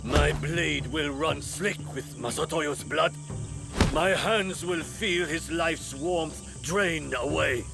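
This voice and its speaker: Game role: fiercely loyal, embittered warrior disciple, gravel in the throat, strong music underneath. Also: male voice, fairly high pitch, takes it slowly. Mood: vengeful. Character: grief-stricken, vengeful male samurai disciple, fiercely loyal. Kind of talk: dramatic video game acting.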